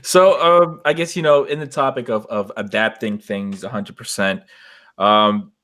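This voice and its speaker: A man's voice: on the low side, moderate pace, a bit bright. A man hosting a casual podcast discussion, feeling his way through questions and searching for words. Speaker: podcast host steering discussion.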